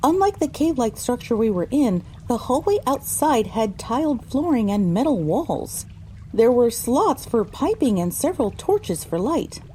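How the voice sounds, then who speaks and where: A woman narrates a scripted story in a high, soft-toned, mellow voice. Her reading is lively and varied, with faint noise behind it. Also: normal speed. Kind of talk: scripted audio drama narration, first person.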